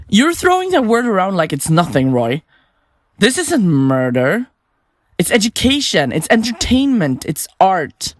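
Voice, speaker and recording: A woman's voice: mid-range voice, sing-song, unhurried, no echo, clean studio sound. A woman playing a chirpy, self-absorbed podcaster and remorseless killer in an audio drama, chatty and flippant. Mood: gleeful, self-assured. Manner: blithe, chatty, flippant, theatrical. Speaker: suspect under interrogation.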